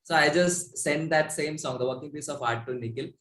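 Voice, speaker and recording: A man's voice: a little high, a little fast, fairly bright tone. A young man with an Indian accent, chatting casually as a musician in an interview. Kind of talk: casual chat. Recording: echoey, roomy sound.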